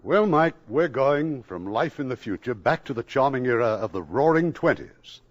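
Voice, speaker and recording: A man's voice: medium pitch, lively intonation, normal speed, highs cut off. A man hosting a 1950s radio drama show, speaking in a genial, polished announcer's manner. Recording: old radio broadcast with faint music.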